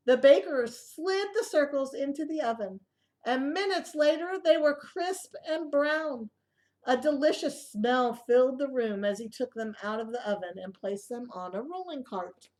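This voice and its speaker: A woman reading a picture book aloud to young children in an expressive way, with asides and questions to the listeners. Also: high-pitched, a little slow.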